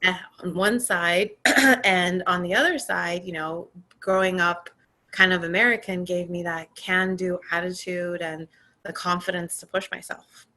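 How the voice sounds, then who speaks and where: A woman in her forties, raised between Iran and California, telling her career story in a relaxed interview with frequent pauses and fillers. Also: lowish voice. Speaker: podcast guest, tech program manager. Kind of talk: conversational interview.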